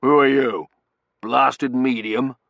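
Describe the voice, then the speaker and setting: A man voicing a gruff older Victorian colonel in an audiobook, barking in clipped fragments that drop their subjects. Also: medium pitch, steady tone, slow pace, fairly bright tone, no echo, dull audio, voice pushed up. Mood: indignant, scornful. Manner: clipped shorthand speech, dropping sentence subjects. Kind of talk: audiobook character voice.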